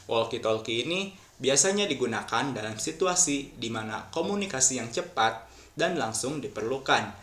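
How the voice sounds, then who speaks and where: A man's voice: mid-range voice, lively intonation, a bit bright. Young man presenting in a roomy echo. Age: young adult. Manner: formal, measured, read from a script. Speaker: student presenter. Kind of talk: reading a class presentation.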